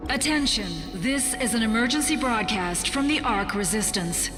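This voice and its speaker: An actress playing a resistance emergency broadcast announcer in a video game, formal and official in delivery. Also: female voice, on the high side, animated, normal speed, natural voice. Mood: official, warning. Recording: long echoing public-address reverb, music behind.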